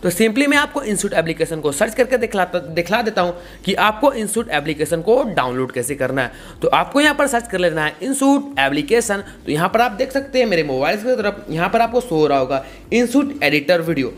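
A man's voice: sits high, very animated, moderate pace, few pauses, fairly bright tone. A young man giving a casual, repetitive step-by-step tutorial. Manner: repetitive, explanatory. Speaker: tutorial host.

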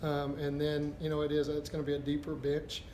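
Middle aged guy coach doing postgame interview, kinda halting, pretty flat pitch, echoey. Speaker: basketball head coach. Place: indoors before a backdrop, a step from camera. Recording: echoey room with background noise.

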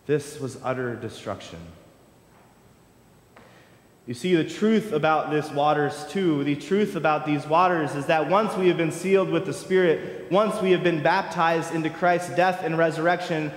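Male pastor, 30s, passionate repetitive preacher, slightly high voice, recorded in an echoey church hall. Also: moderate pace. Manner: impassioned preaching with rhythmic repetition. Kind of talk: sermon. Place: large church sanctuary. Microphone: distant room mic, wide camera.

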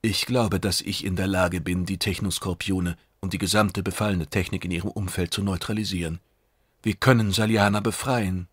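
A man acts out a young male mutant in a science fiction audiobook. He sounds earnest, nervous and tentative, speaking quietly. The recording is dry. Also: low voice, fairly even intonation, moderate pace, slightly rough, no room sound. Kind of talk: audiobook character dialogue.

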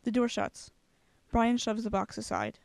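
Higher-pitched woman reading a screenplay. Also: big pitch swings, a little slow, gravelly, darkish tone. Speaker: screenplay reader. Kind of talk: scripted dramatic reading of screenplay.

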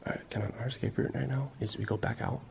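A man's voice: mid-range voice, fairly even intonation, fast, creaky, a little mellow. A young man, chatting casually and cautiously as he explores a building on camera, often asking questions. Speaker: urban explorer filming a video. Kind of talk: casual hushed exploring chat. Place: indoors, abandoned school building at night. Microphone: handheld camera mic. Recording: noisy handheld camera audio, clicks.

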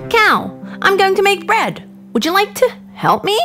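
A woman's voice: very high-pitched, sing-song, normal speed, over music, heavily acted. A woman voicing a small, eager, hard-working red hen in an exclamatory children's cartoon style. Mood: eager, calling. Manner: exclamatory, insistent. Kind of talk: children's cartoon story acting.

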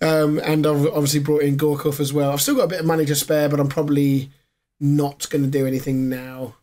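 A hoarse man in his thirties, co-hosting a casual gaming podcast from a small home room, rambling with plenty of fillers. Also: a little high, level tone, brisk, mellow. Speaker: podcast co-host.

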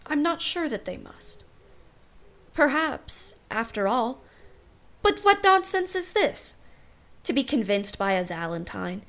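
Woman doing a wry old-fashioned aunt for a radio play, kinda high voice, proper, keeps second guessing herself. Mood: wry musing. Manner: genteel, old-fashioned, self-questioning. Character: wry, flustered, romantically wavering aunt. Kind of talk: radio play acting, musing monologue.

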